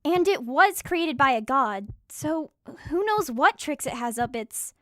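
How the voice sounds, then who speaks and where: A high, brisk female voice for a bold fighter, acted by a woman. She is practical and protective in a fantasy audio drama, recorded dry and close. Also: lively intonation, fairly bright tone, no room sound. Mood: wary.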